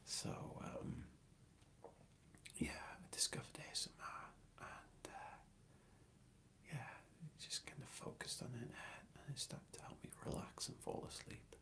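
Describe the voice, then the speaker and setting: A man whispering an ASMR question-and-answer ramble in a soft, hesitant and thoughtful way. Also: measured pace.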